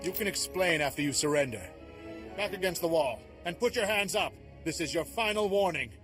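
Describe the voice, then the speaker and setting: A man's voice: fairly high pitch, animated, a little fast, over music, natural voice. A man playing a stern, by-the-book guard captain in a video game, commanding and clipped. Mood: alarmed, commanding. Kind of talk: scripted video game acting.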